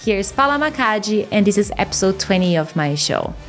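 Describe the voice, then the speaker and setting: A woman from Brazil with a Brazilian Portuguese accent, hosting a podcast and delivering a scripted, informative monologue in a measured way. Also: medium pitch, big pitch swings, loud music behind the voice. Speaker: podcast host.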